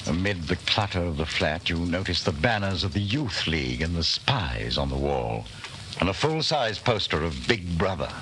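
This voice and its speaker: An actor playing a grave, intense narrator of a dystopian tale in a 1940s radio drama, telling it with suspense. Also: male voice, low voice, sing-song, normal speed, a bit bright. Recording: slightly noisy 1940s radio recording.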